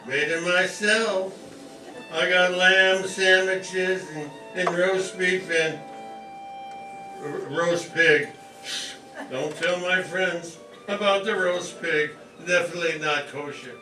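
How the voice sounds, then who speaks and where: A humble, stammering cart seller, played by a man, talks kindly in a high voice. The live amateur radio play is heard from far off in a roomy hall, with music plainly behind. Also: steady tone, slow pace. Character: humble, friendly medieval lunch-cart seller, adult man.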